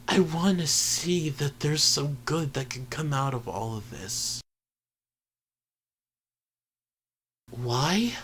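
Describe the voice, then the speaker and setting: A man playing an earnest, vulnerable high-school boy in an intimate ASMR roleplay, speaking haltingly and sincerely. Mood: wistful, hopeful. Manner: halting, hesitant, sincere, often trailing into breathy murmurs. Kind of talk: ASMR roleplay audio drama, intimate confession. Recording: noisy home recording with ambience.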